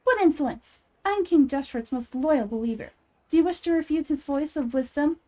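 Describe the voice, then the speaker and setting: A woman's voice: high voice, animated, moderate pace, warm tone. A woman playing a proud, devout female believer from the desert in a video game, formal and indignant in manner. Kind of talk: video game character voice acting.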